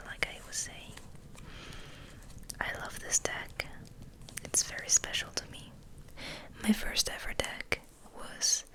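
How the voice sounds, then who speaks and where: A woman ASMR host speaking in a gentle, breathy whisper, hushed and unhurried, as if rambling softly to her listeners. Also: poor audio. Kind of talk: ASMR, soft rambling chat and reading aloud.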